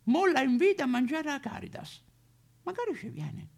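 An actor playing a boozy, cheeky, lonely homeless tramp of fallen nobility, in a rambling, foul-mouthed, singsong stage monologue with a Roman accent. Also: male voice, very high-pitched, big pitch swings, normal speed, creaky, full character voice. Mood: boastful, wistful. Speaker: stage actor in a solo show. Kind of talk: theatrical comic monologue addressed to listeners.

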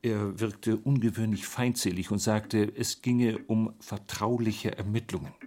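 An actor playing a grave, authoritative elder brother and government official in a radio play, stern and formal. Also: male voice, fairly low pitch, fairly even intonation, a little slow, darkish tone. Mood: grave warning. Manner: stern, formal, insistent warning.

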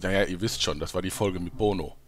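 Snide commentator for a parody audio play, acted by a man: very low voice, sardonic, clear music underneath. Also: fast talker, lightly acted. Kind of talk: comedy audio drama commentary.

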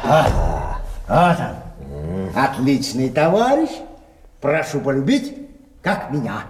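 An actor playing a jovial, scheming card sharp in a theatrical audio play, expansive and hearty with drawn-out exclamations. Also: male voice, medium pitch, huge pitch swings, slight background noise. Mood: boisterous, hearty. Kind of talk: theatrical radio play acting. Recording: roomy stage sound with music underneath.

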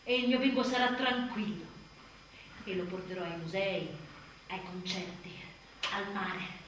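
A woman's voice: medium pitch, steady tone, a little slow, fairly bright tone, lightly acted. An actress playing an exhausted young mother on the edge of breakdown in a theatrical monologue, repetitive and volatile as she tries to soothe herself. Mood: brittle self-reassurance. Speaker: stage actress. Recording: very echoey, distant, noisy stage recording.

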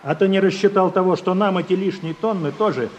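An actor playing an indignant, sarcastic farm worker in a radio play, colloquial and accusatory. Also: male voice, high voice, fairly quick, a little mellow, highs cut off, lightly acted. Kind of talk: Soviet radio play acting. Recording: noisy vintage radio drama recording.

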